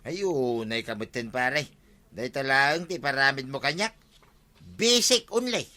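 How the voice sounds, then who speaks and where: A man's voice: medium pitch, lively intonation, measured pace, sharp tone. A man with an Ilocano accent acting as a boastful, clumsy would-be village watchman in a radio comedy drama. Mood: cocky. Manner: boastful, blustering.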